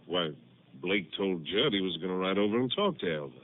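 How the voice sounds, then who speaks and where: An easygoing small-town sheriff who talks in a folksy drawl. His voice sits on the low side. The sound comes through a thin, tinny old radio broadcast. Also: lively intonation, brisk. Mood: thoughtful. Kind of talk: 1950s radio drama acting.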